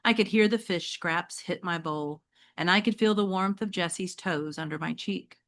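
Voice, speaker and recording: A woman's voice: on the low side, normal speed. A woman teacher reading a children's novel aloud expressively, speaking directly to her young listeners. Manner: expressive, addresses listeners with check-in questions.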